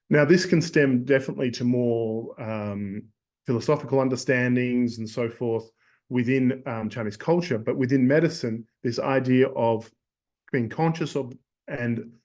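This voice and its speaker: Hoarse man lecturing by webinar. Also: medium pitch, darkish tone. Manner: thoughtful, many fillers and restarts. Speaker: acupuncture lecturer.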